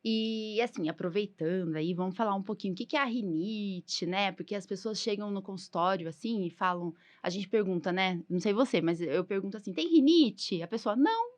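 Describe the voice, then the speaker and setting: A Brazilian woman in her forties hosts a relaxed podcast chat. She talks in a loose, chatty way with lots of small fillers, her pitch sitting in an everyday middle range. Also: fairly quick, hardly any pauses. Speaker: podcast host, allergist.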